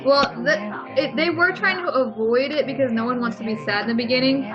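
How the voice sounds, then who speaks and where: A woman's voice: high voice, brisk. A woman co-hosting a let's play with casual, chatty commentary on a game, on a dull lo-fi recording. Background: game music.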